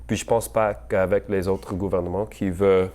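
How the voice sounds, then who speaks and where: A young man giving an unscripted campaign message, hesitant and halting, with many fillers and slips into English words. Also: low voice, little pitch movement, mellow. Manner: apologetic about his French. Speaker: mayoral candidate.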